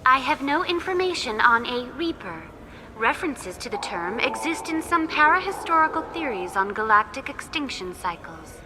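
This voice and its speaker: A woman's voice: high voice, big pitch swings, moderate pace, music in the background. An actress voicing a polite female virtual guide in a video game, giving information in a formal, precise way. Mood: informative. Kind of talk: scripted public announcement.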